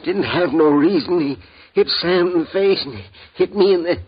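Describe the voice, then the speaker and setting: A man's voice: sits high, moderate pace. A shaken wounded frontiersman. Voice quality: husky, ungrammatical country speech. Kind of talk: 1950s radio drama acting. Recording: dull old radio broadcast.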